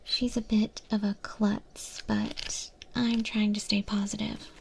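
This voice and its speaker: A woman playing a patient monster woman who mentors a newcomer in a restaurant kitchen, in a hushed, breathy ASMR roleplay whisper. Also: mid-range voice, little pitch movement, poor audio. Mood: hushed, musing. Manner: slow, hushed, gently instructive.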